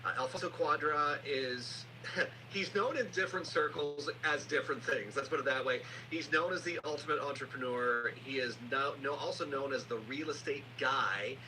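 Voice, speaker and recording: Male podcast host, mid-range voice, chatty introductions and questions, recorded with clear background hum and noise. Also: mid-range voice, normal speed. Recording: noisy, humming live stream audio.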